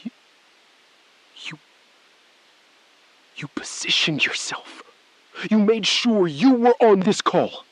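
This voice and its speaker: An actor playing a driven, desperate bank robber turned whistleblower in an audio thriller, halting and confrontational. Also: male voice, high-pitched, lively intonation, rough recording. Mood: accusing, rattled. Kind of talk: scripted audio thriller acting.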